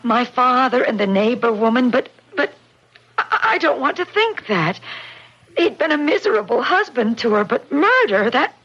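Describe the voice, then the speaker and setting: A woman's voice: fairly high pitch, big pitch swings, normal speed, a bit bright, husky, full character voice. A woman playing a troubled grown daughter in a radio mystery drama, with a hesitant, faltering manner. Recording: muffled vintage radio drama recording.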